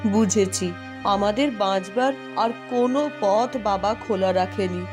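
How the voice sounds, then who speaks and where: Woman acting a naive young princess, slow plaintive delivery. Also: mid-range voice, music in the background, natural voice. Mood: anxious. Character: naive, outspoken youngest princess sister, anxious and indignant. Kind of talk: cartoon fairy-tale voice acting.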